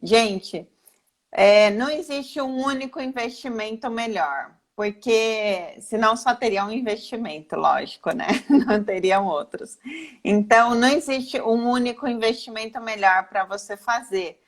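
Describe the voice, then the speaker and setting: A woman's voice: a little high, unhurried. A woman with a Brazilian accent giving an informal, didactic explanation as a financial planner, posing questions and answering them herself. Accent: Brazilian Portuguese. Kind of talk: informal explanatory talk in a live online session.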